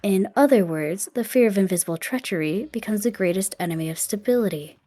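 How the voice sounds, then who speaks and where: A composed, analytical student girl acted by a woman speaks in a dark, mellow voice. Her delivery is detached and explanatory, a fan-dubbed game character over quiet music. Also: medium pitch, lively intonation, fairly quick, close to an everyday voice. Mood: cool, explanatory. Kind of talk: scripted explanation in amateur game fan dub.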